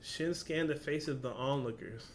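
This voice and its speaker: A man's voice: on the high side, moderate pace, a little hiss or hum. A man with a casual, slangy, informal way of talking, hosting a reaction video and reading along.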